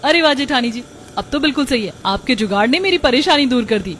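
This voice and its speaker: A woman's voice: high voice, expressive, quick pace, a bit bright, slight background noise. A woman playing polite, homely young Indian daughters-in-law in a cartoon family story. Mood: friendly.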